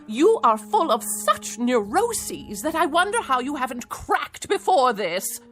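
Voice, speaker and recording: An actress in a radio drama playing a proud, exasperated wife with cutting, formal, sardonic delivery. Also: female voice, high-pitched, sing-song, measured pace, a bit bright, faint music behind, heavily acted. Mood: scornful. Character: exasperated, scornful wife, sharp-tongued and proud. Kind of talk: theatrical radio drama acting.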